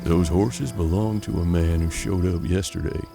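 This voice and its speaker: A man playing a seasoned, pragmatic bounty hunter in a western audio drama, with measured, folksy frontier talk. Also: deep voice, vocal fry, over music. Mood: measured, shrewd. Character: seasoned male bounty hunter detective of 1870s Kansas, steady, pragmatic.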